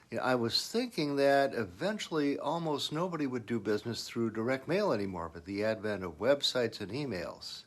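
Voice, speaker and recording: A man with a medium-pitched voice narrates a business lesson. He follows a semi-scripted explanation in an instructional manner, leaning on the key points as he goes. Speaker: business advice presenter.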